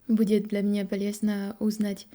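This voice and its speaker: A young French woman with a French accent, talking to camera in a learner's halting Russian, pausing often to search for words and correct herself. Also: medium pitch, monotone, mellow. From France. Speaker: vlogger. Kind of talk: casual monologue to camera.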